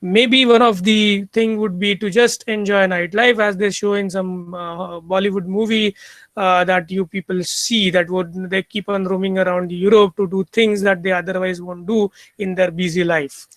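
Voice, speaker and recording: A middle-aged man with an Indian English accent teaches an online class through his computer mic on a video call. His voice sits high for a man and sounds close and dry, with no room echo. He explains patiently, repeating and restarting phrases as he goes. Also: fairly even intonation, normal speed, a bit bright. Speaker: university lecturer. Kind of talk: online lecture.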